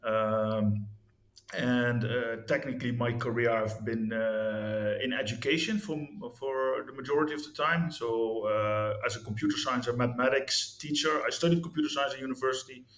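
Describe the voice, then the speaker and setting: A man's voice: medium pitch, fairly even intonation, normal speed, fairly bright tone. Hesitant Dutch-raised man in his fifties. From Netherlands. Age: 50s. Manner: hesitant, many restarts and fillers. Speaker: interview guest. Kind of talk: candid interview talk about his life. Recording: echoey room.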